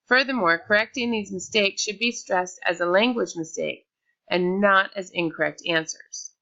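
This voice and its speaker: A woman's voice: medium pitch, sharp tone, dull audio. A woman reading a scripted slide presentation aloud, with small slips and restarts.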